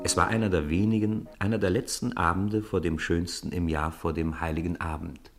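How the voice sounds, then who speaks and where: A man narrating a radio play in a measured, storytelling manner. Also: deep voice, level tone, soft background music.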